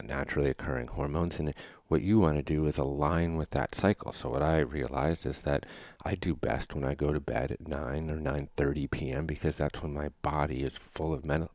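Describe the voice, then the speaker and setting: Man, podcast host, very low voice, thoughtful, rhetorical questions, dry studio sound. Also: darkish tone, no room sound. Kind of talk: reflective solo monologue.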